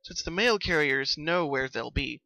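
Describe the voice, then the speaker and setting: A man acts out a young female party pony in a dramatic story reading. The voice is raised high and very bright, with close dry sound. She is sweet, troubled and earnest, wearing her emotions openly. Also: animated, measured pace, vocal fry, no room sound, exaggerated voice. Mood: earnest, puzzled.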